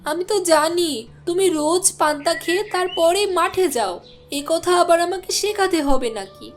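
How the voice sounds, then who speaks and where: A woman voicing a strong-willed village housewife in a cartoon, complaining and insistent but soft-hearted. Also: very high voice, animated, normal speed, soft background music. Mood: nagging. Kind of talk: cartoon voice acting.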